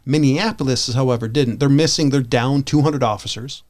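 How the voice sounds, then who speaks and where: A man's voice: mid-range voice, normal speed. A man co-hosting a podcast in casual chat, rambling along in an informal, enthusiastic way. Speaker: podcast co-host.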